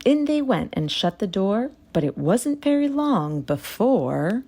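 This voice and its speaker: A woman narrating a rhyming children's story in an expressive read-aloud style. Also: medium pitch, unhurried, slight background noise.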